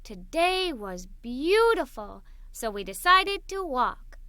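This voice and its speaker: Female children's narrator: bright, high, very expressive scripted read-aloud. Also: slow pace, smooth, no echo, studio quality. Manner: animated, expressive.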